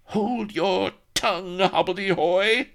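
A man's voice: medium pitch, very animated, moderate pace, creaky, fairly bright tone, voice pushed up. A man voicing a vain, imperious young noblewoman with a posh upper-class English accent in a comic story. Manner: posh, affronted, commanding.

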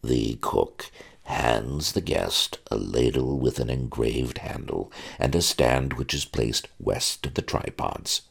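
A man voicing a pedantic butler in an audiobook, reciting etiquette in a dry, measured way. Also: a bass voice, vocal fry, breathy edge, full character voice.

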